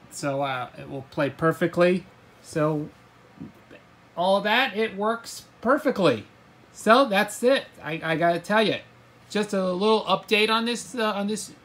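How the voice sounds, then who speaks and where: Halting man, slightly high voice. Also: a bit bright. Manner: halting, repeats words and stumbles. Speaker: hobbyist video presenter. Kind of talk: casual gadget demonstration to camera.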